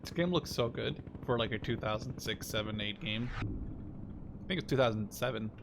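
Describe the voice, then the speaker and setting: A man's voice: moderate pace, gravelly. A man in his twenties giving casual, rambling let's-play commentary as the host of a gaming video. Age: 20s. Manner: rambling, informal, hedges with "like". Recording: noisy, game rumble behind voice. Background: war game sounds.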